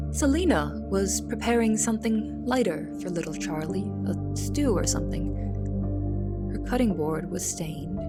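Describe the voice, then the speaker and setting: A woman's voice: medium pitch, close to an everyday voice. A woman playing an anxious young cook in an audio drama, narrating and speaking with confessional, dramatic intensity. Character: anxious young female cook in a big house. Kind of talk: audio drama first-person narration and dialogue.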